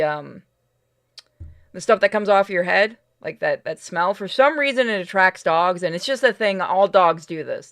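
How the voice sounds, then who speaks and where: A woman's voice: fairly low pitch, a bit bright. A woman live-streaming a game and chatting casually with viewers, informal and rambling as she explains things. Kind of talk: casual live-stream chat over gameplay.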